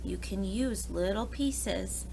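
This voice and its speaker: Female children's story reader, expressive teacher delivery, very unhurried, faint noise behind. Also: medium pitch, gravelly, poor audio. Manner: expressive, talks directly to young children. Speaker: preschool teacher reading aloud. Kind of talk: children's picture book read-aloud with asides.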